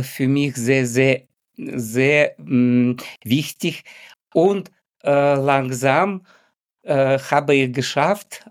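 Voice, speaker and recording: A mature man with a Ukrainian accent speaks as a non-native interview guest. He talks slowly and haltingly, searching for words and keeping his phrasing simple. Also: mid-range voice. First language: not native in German. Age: middle-aged or older. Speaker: sports doctor, interview guest. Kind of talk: conversational interview.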